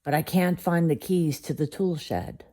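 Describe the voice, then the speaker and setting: A woman voicing a grumpy, impatient male beast in a children's bedtime story, gruff and demanding. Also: sits low, moderate pace, dark tone, pitched above the performer's own voice, close to an everyday voice.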